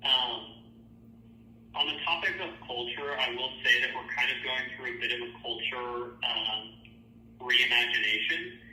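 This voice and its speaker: A man's voice: mid-range voice, pushed and bright. A man conducting a casual but professional job interview by phone, explaining things informally and checking in as he goes. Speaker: job interviewer, hiring manager. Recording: roomy, distant phone line, faint noise.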